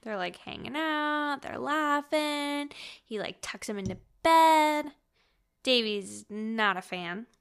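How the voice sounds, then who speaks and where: A woman co-hosting a podcast, recapping a story in a casual, animated, slangy and jokey way. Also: high-pitched, slow, vocal fry, smooth. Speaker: podcast co-host recapping a novel. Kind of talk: casual chatty story recap with acted-out quotes.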